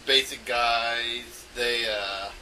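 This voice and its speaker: A man giving an unscripted, rambling explanation as an amateur game designer, halting often as he thinks aloud. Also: mid-range voice, steady tone, bright, forceful tone. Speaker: amateur game designer recording an audio log. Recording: noisy home recording, distant from mic.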